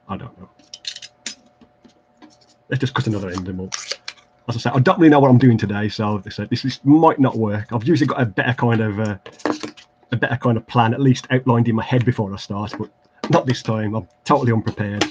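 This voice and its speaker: A man's voice: lowish voice, a little fast, pauses often, lo-fi sound, background noise. A man with an English Midlands accent hosting a casual craft livestream, rambling and self-deprecating with lots of fillers and restarts as he works at his desk. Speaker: livestream host building tabletop terrain. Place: home craft desk, indoors.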